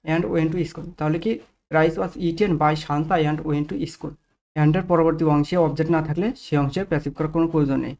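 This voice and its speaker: A man's voice: fairly high pitch, a little mellow. A man teaching grammar step by step to viewers, explaining each rule and often checking understanding with short tag questions.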